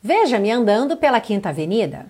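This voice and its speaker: A woman from Brazil teaching an online English lesson, reading lyrics slowly and carefully and then translating them. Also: medium pitch, sing-song, darkish tone, husky.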